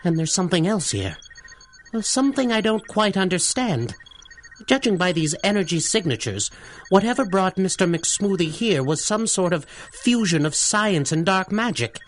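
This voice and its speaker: A man's voice: sits high, animated, moderate pace, a little hiss or hum. An actor playing a mild-mannered, curious male scientist who speaks formally and hesitantly in a 1930s-style radio adventure. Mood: fascinated, puzzled. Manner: hesitant, courteous, formal wording.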